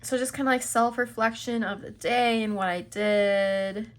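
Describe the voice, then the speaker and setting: Unhurried woman, a little stuffy-nosed. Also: on the high side, steady tone, slight background noise. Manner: chatty, unhurried, with fillers and small laughs. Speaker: planner video creator. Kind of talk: casual planner flip-through narration.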